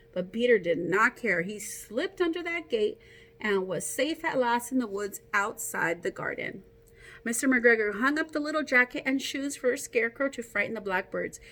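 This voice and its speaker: A woman teacher reading a story aloud to children, expressive and encouraging, with casual asides to her young listeners. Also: on the high side.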